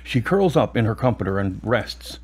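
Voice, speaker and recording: A man with a husky voice reading scripted narration for an audio drama. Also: on the low side, big pitch swings, normal speed.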